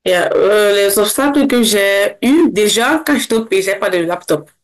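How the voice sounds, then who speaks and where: A woman's voice: medium pitch, animated. Young Cameroonian woman telling her story. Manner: fast, with many restarts. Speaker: interview guest, former scholarship holder. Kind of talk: casual interview.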